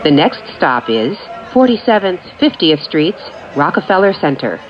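A woman reading scripted automated subway announcements in measured, clearly separated phrases. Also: on the low side, very animated, crowd noise. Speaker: transit announcer. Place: recorded off speakers inside a subway train. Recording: muffled capture with train noise. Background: train ambience.

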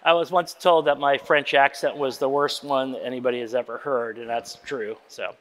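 A man's voice: medium pitch, fairly even intonation, normal speed, a bit bright. A man in his sixties giving an informal, self-deprecating, rambling conference talk from an auditorium stage. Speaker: keynote lecturer. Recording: long hall reverb.

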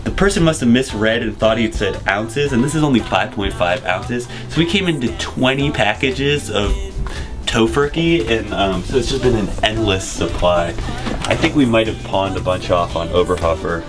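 A man's voice: fairly low pitch, expressive, moderate pace, music in the background. A young man, a touring musician, chatting casually and playfully for a documentary on a tour bus. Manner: playful, chatty, laughs often.

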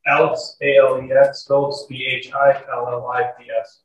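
A man's voice: medium pitch, flat delivery, moderate pace, lo-fi sound. A man testifying as a sheriff's deputy, giving terse, matter-of-fact formal answers. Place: courtroom, picked up from afar. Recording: very echoey courtroom, distant mic, faint noise.

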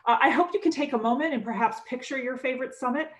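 A woman acting as host and opening a virtual summit over a video call, brisk and upbeat. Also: sits high. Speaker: state department director, host. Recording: roomy video call audio.

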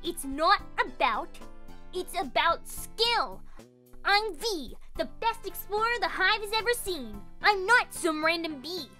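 Woman doing a squeaky cocky bee girl, super high voice that jumps all over, kinda slow and bright, music under it. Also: animated, exaggerated voice. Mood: defiant. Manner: cocky, defiant. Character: brash cocky young female bee explorer. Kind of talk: fan dub of a video game.

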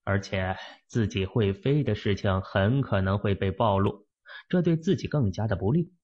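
A man reads a novel aloud as a dramatic storyteller. His voice is low and husky, and his pitch swings widely. The recording sounds dull and lo-fi. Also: expressive, normal speed, a little mellow. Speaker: audiobook narrator.

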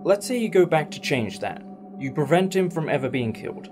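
A man's voice: a little high, very animated, a little fast, background noise. A man acting in a fan audio drama as a thoughtful, reassuring alien time traveller who explains things in a musing, conversational way. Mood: musing, teacherly.

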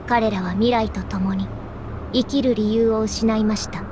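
Slow, high-voiced mournful girl of doom acted by a woman. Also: fairly even intonation, noisy background, natural voice. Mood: solemn, mournful. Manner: slow, solemn, lyrical delivery. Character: sorrowful young female herald of doom, eerily serene. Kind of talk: scripted dramatic game monologue. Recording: loud music under the voice.

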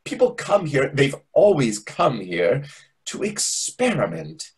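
A man acts out a pompous, over-familiar restaurant waiter in a stage comedy. His voice is very husky and swings widely in pitch, on the lower side, as he lectures with theatrical condescension. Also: huge pitch swings, takes it slowly, heavily acted. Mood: condescending. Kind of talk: readers theatre comedy acting. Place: indoors on a video call. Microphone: video call microphone.

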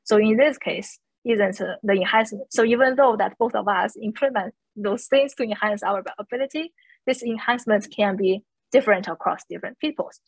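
A woman panelist in an academic discussion, speaking haltingly with non-native English phrasing. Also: medium pitch, gravelly, breathy edge, no room sound, poor audio.

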